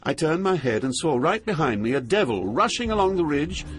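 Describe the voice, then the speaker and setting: An older actor playing a solemn poet, narrating his journey through hell in a measured, literary way. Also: on the high side, very animated, husky. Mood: grave, haunted. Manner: grave, measured, poetic.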